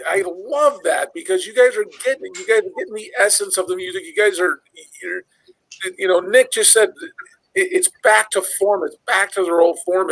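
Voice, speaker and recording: A man's voice: high-pitched, a bit bright, dry recording, rough recording. A middle-aged man who hosts a channel, chatting casually about music in a rambling, repetitive way. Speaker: metal video channel host. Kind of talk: casual group chat about metal.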